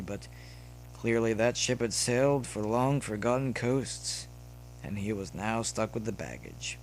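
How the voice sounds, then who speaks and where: A man reading a story aloud as narrator in a dramatic, expressive way. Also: on the low side. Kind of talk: reading fan fiction aloud with character voices. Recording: clearly noisy background with hum.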